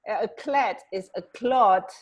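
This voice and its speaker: A woman's voice: mid-range voice, a little slow, lo-fi sound. A woman co-hosting a radio show in casual, unscripted chat, feeling her way to words as she talks. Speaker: radio co-host.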